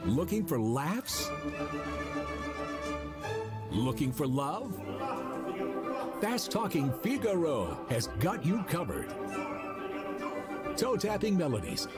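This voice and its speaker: Man announcer for an ad, playful and very lively in pitch, slow read, loud music underneath. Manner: playful, lilting sales read. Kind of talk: radio ad voice-over.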